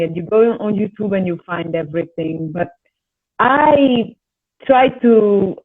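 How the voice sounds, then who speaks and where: A woman's voice: medium pitch, slightly rough. A woman from Italy with an Italian accent, a singer and voice teacher talking earnestly in a casual conversation, her English learned as a second language. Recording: tinny phone-like line.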